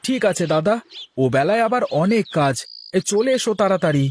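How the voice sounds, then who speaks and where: Casting: excitable younger village brother for a cartoon, man acting, high lively voice, eager and curious. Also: normal speed, noisy background. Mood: agreeable. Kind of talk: Bengali cartoon dialogue.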